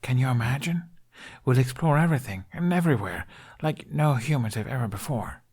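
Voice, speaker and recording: A man's voice: medium pitch, lively intonation, fairly quick, a little mellow, slightly rough, voice pushed up. A man voicing an earnest, hopeful young prince from a fantasy story, speaking eagerly as he searches for words. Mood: excited.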